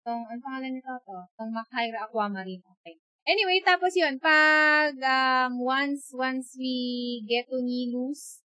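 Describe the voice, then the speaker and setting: A woman's voice: high voice, steady tone, measured pace, sharp tone, no room sound, low-quality recording. A woman with a Filipino accent giving casual live gameplay commentary, chatty and reacting aloud as she switches between two languages. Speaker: game streamer.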